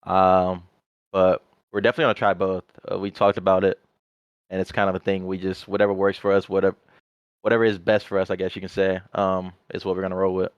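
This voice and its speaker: A man's voice: sits low, completely flat delivery, fast, smooth, dry recording. A young man, a guest pro player, chatting casually on a podcast and rambling with lots of "like". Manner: rambling, heavy use of "like". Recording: slightly muffled audio.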